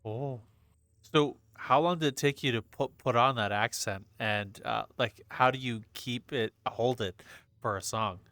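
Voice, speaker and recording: Podcast host guy, middle pitch, eager and a bit tongue-tied, dry close sound. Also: measured pace, pushed and bright, no echo. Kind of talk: casual interview chat. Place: home, VR session.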